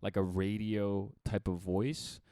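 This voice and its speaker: Deep-voiced man, smooth and dry. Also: slow, no room sound. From Chicago area. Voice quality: deep radio-type voice. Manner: animated, laughs often, talks in bursts with restarts. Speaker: podcast co-host. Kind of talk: casual podcast chat.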